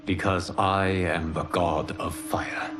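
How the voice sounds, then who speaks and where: A grave, formal fire god, in a game cutscene. He speaks slowly in a low, even voice. Music plays behind him. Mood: solemn. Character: calm solemn male fire god, Liu Kang. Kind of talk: video game cutscene acting.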